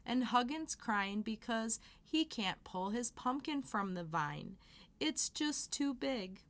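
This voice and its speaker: A kindly little storybook duck, put on by a woman reading aloud. It quacks gently and speaks slowly, its voice sitting a touch high. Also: animated, heavily acted. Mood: sad.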